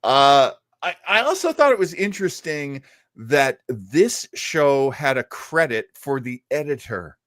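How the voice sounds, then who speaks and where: Slow-paced male host with swooping pitch. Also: sing-song, a bit bright, no echo, clean studio sound. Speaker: co-host of a radio show. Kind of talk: casual chat introducing old-time radio.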